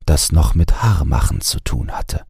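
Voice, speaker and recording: A man narrating a bedtime audiobook in a calm, scripted storytelling style, close to the microphone. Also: rumbling low, unhurried, gravelly, slightly rough, dry recording.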